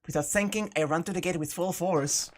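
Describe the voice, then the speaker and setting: A woman's voice: on the low side, brisk. A woman hosting a playthrough, reading a visual novel aloud with informal, sweary, jokey asides. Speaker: host of a game playthrough. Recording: music playing behind the voice. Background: game music.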